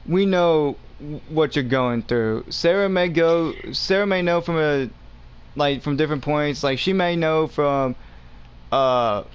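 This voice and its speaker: A man's voice: on the high side, slow, a little hiss or hum. A young man with a Southern US accent, hosting a gaming video and talking earnestly to his viewers over a headset. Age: young adult. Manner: earnest, talks straight to viewers as "guys". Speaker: gaming channel host. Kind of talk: casual chat while playing a game.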